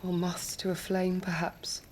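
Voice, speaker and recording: A woman's voice: fairly low pitch, monotone, unhurried, darkish tone, a little hiss or hum, close to an everyday voice. An actress playing a loyal, thoughtful attendant to a nobleman in a fantasy game, speaking formally and with composed deference. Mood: pensive. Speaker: video game character. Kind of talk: dramatic cutscene acting.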